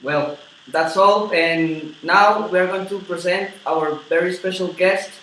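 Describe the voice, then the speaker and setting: A man hosting a scripted school-project interview, speaking haltingly and repeating words. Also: high-pitched, pushed and bright. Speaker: interview host. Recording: distant, very echoey room with noise.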